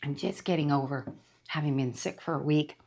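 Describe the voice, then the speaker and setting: A middle-aged woman chatting casually about books she read, her voice rough after being sick, rambling with lots of fillers. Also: low-pitched, a little fast, a little mellow. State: voice rough from recent illness. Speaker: book reviewer. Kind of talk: casual book review chat.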